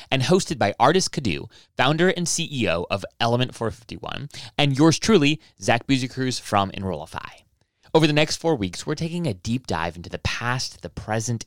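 A man's voice: medium pitch, no echo, clean studio sound. A man hosting a podcast, introducing the series and chatting with a guest in an upbeat, rambling way with many restarts. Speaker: podcast host. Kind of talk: casual podcast interview.

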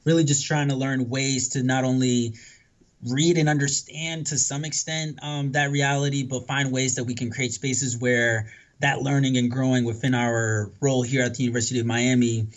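A man born in the Dominican Republic, a podcast guest talking in a reflective, casual way, often tagging his points with "right?" and filling pauses with "um". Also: mid-range voice, flat delivery, dull audio. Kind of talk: casual conversational interview.

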